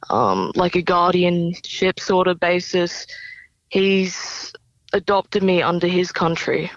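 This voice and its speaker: A young Australian woman answers interview questions at a very slow, thoughtful pace. Her voice sits a little low. The recording is dry and slightly dull, lacking some top end. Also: no echo. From Brisbane, Australia. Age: young adult. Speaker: singer-songwriter, interview guest.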